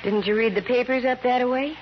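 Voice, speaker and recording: A woman's voice: fairly high pitch, fairly even intonation, a little fast, natural voice. Breezy female gumshoe voiced by an actress, dry wisecracks, 1950s radio drama style, muffled and noisy old recording. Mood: cool, probing. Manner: wry, wisecracking. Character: breezy, dry-witted female private investigator. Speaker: lead actress and narrator. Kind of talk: 1950s radio detective acting, first-person narration.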